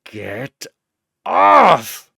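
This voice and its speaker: A man's voice: mid-range voice, wildly swooping pitch, drawn-out words, creaky, pushed and bright, breathy edge, dry recording, studio quality, exaggerated voice. A man voicing a stern, impatient woman spirit medium in a story, cold and clipped. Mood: furious.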